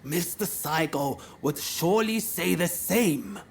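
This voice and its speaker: An actor playing a proud, defiant anti-shogunate samurai in a video game, speaking formally and vehemently. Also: male voice, on the high side, animated, slow, vocal fry, a little hiss or hum, full character voice. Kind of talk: dramatic video game acting.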